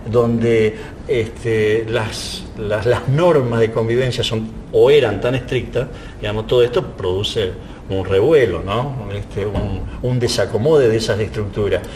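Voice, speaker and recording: A man with a middle-pitched voice explains a story in a reflective interview style. He pauses to search for words and checks in with small tag questions. A faint low rumble sits behind him. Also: darkish tone. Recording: low rumbling background noise.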